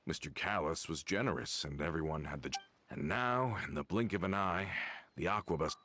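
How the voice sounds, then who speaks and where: A man's voice: sits low, fairly quick, a bit bright, a little hiss or hum. An actor playing a loyal, easygoing veteran henchman in an anime-style video game, casual and nostalgic in manner. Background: background music.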